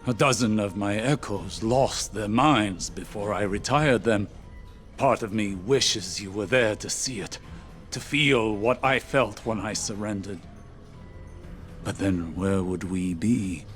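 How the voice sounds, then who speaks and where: Aloof, forceful, low-voiced warlock sage. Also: male voice, slow, faint music behind. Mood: weary wonder. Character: aloof, wry older male warlock sage. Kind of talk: video game voice acting.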